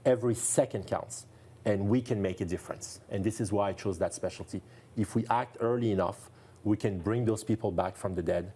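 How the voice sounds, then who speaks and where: A man's voice: on the low side, fairly quick, mellow, husky. A man from France with a French accent, a neurologist guest speaking earnestly and enthusiastically in a TV studio interview, repeating phrases for emphasis.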